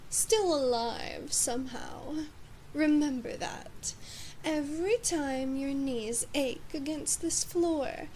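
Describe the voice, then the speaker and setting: A woman voicing a haughty, domineering demon queen in an ASMR roleplay, measured and condescending, slipping at times into whispers. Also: high voice, a little mellow, a little hiss or hum, rough recording. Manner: imperious, measured, condescending, drifting into breathy whispers. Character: haughty, domineering female demon queen addressing her human knight. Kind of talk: ASMR roleplay, scripted monologue.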